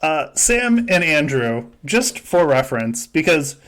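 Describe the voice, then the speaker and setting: A man's voice: on the high side, sing-song, a little slow, a bit bright. A man hosting a casual podcast game, chatting and joking with frequent swearing and laughter. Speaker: podcast host. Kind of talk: casual chat running a simulated reality-show game.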